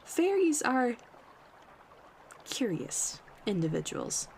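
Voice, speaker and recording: A woman's voice: a little high, sing-song, gravelly, a little mellow, a little hiss or hum, full character voice. A woman voicing a proud, solemn talking rabbit of the forest, speaking earnestly and formally in a fantasy roleplay monologue. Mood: earnest, sorrowful. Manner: earnest, formal storytelling in measured phrases.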